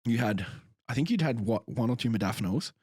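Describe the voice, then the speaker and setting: A man's voice: mid-range voice, expressive, a little fast, a little mellow, slightly rough, no echo, clean studio sound. A young Australian man, a coach and podcast co-host, telling stories casually with lots of swearing. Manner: chatty, sweary storyteller, laughs often.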